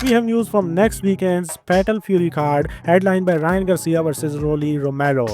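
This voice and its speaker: A man with an Indian accent hosting a fight news roundup in a brisk, opinionated voice. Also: fairly high pitch, a little mellow. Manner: brisk, opinionated, playful asides. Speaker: MMA news host. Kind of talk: scripted news narration.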